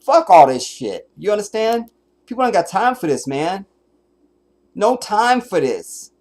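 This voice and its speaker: A man's voice: high-pitched, sing-song, unhurried, a little mellow. A man streaming commentary over a clip, blunt and emphatic, swearing freely. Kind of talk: profane reaction commentary.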